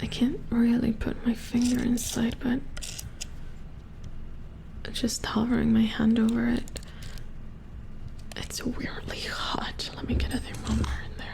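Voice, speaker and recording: A woman playing a gentle, squeamish robot repair engineer in a hushed, hesitant ASMR roleplay, murmuring to herself. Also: fairly high pitch, monotone, a little slow, vocal fry, long pauses. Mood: puzzled, squeamish. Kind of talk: soft-spoken whispered ASMR roleplay.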